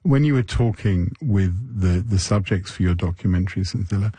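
Low, husky man interviewing. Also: moderate pace, warm tone, no room sound. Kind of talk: conversational interviewing.

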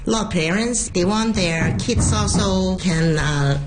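Lower-pitched woman, native Cantonese speaker. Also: unhurried, background noise. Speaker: kindergarten Cantonese teacher. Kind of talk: interview answers.

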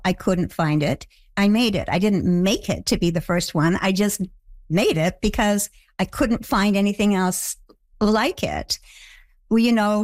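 A woman being interviewed, speaking reflectively in short, measured, halting phrases. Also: medium pitch, no echo. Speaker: interview guest, television creator. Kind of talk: reflective conversation.

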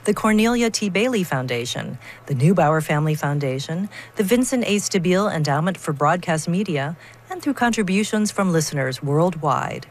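Low-pitched woman radio host. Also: lively intonation. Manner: polished, measured read. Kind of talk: scripted radio announcing.

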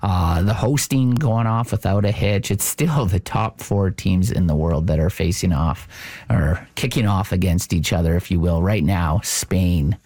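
A man's voice: deep voice, a little slow, mellow, dry recording. A man hosting a live radio news show, chatting casually as he wraps up a reporter's field segment. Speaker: radio host.

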